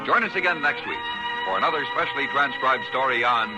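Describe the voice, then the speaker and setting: A man's voice: mid-range voice, lively intonation, fairly quick. A man announcing in a formal 1950s radio style. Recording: old radio broadcast over loud music.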